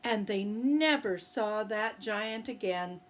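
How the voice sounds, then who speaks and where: A woman retelling a fairy tale to young children in a gentle, expressive, sing-song way. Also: medium pitch, takes it slowly. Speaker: early-years storyteller. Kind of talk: children's story reading.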